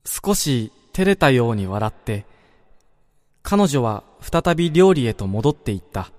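A man playing a wistful dreamer in a radio drama, telling of his own dream in a measured, reflective first-person narration. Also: mid-range voice, sing-song. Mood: tender, longing. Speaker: narrator-protagonist. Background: background music.

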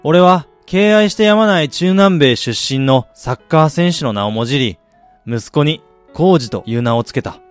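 A man acting in a radio drama as a driven businessman and husband, telling his life story in a measured, reflective way. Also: fairly high pitch, lively intonation, clean tone, dry recording, close to an everyday voice. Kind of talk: radio drama monologue, literary narration. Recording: music bed behind the voice.

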